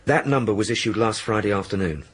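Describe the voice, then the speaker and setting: An actor playing a diligent, deferential police sergeant in a radio drama, reporting in an earnest, matter-of-fact way. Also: male voice, lowish voice, quick pace, a bit bright, husky. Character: deferential, diligent male police sergeant, junior to his boss.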